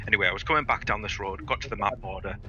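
A man's voice: lowish voice, racing, bright, forceful tone, highs cut off. A man telling stories and bantering over gaming voice chat in a sweary, theatrical, bombastic way. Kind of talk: animated casual gaming banter and storytelling.

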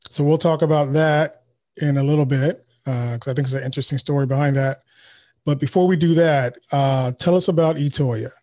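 A relaxed man hosts an interview podcast. He asks questions casually with plenty of filler sounds, speaking into a studio microphone. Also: on the high side, fairly quick. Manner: relaxed, many filler ums. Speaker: podcast host. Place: home studio. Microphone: studio mic with headphones.

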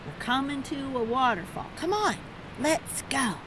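A woman's voice: sits high, very animated, a little slow, background noise. A woman storyteller giving a dramatic, rhythmic picture-book read-aloud for children, theatrical and urging listeners to join in.

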